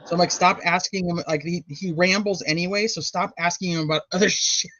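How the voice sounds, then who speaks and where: Laughing man with a high, bright voice. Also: a little fast, no echo, muffled recording. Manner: animated, laughing, rambling. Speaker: co-host commenting on trial. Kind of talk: casual live chat.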